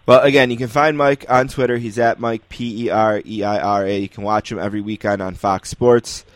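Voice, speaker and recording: A man's voice: on the low side, little pitch movement, fairly quick, no room sound. A man hosting an interview, wrapping up with plugs and thanks in conversational podcast style.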